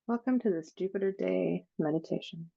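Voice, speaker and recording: A woman leading a guided meditation in a slow, soothing manner, with long pauses between phrases. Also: fairly low pitch, gravelly, dark tone, highs cut off.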